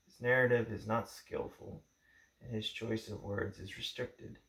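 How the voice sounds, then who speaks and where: Male amateur narrator, low gravelly voice, halting book reading in a roomy, echoing space. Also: fairly even intonation, a bit bright. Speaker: amateur audiobook reader. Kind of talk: book introduction read aloud. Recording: roomy, echoing home recording.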